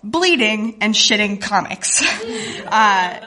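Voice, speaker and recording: A woman's voice: mid-range voice, expressive, slow pace, sharp tone. A woman telling a humorous story live to an audience, in a wry, self-deprecating, facetious way. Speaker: guest cartoonist telling a story. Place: live event in a room with an audience.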